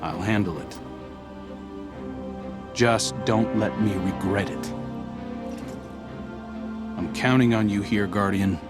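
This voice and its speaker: An actor playing a wry, weary human commander in a video game, talking in a dry, low-key, plain-spoken way. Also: male voice, takes it slowly, pauses often. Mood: wary, measured. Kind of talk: video game cutscene acting. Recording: loud music underneath.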